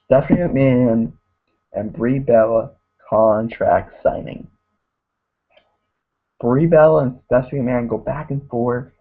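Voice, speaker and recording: A man reviewing a wrestling show in a rambling casual way, saying "guys" constantly and getting hoarse. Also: mid-range voice, fairly even intonation, measured pace, pauses often. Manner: mocks wrestlers in put-on voices. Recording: tinny phone-like lo-fi audio.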